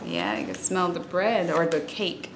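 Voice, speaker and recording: A young woman reading a children's storybook aloud in an expressive, explanatory way, in a room at home. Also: on the low side, measured pace, reverberant, background noise. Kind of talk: storybook read-aloud with casual asides. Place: small room at home, by a window.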